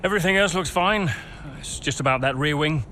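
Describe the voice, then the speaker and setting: An actor playing a middle-aged racing team principal, firm and commanding under strain. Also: male voice, fairly high pitch, brisk, sharp tone, a little hiss or hum. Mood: calm. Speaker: team boss. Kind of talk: video game cutscene acting.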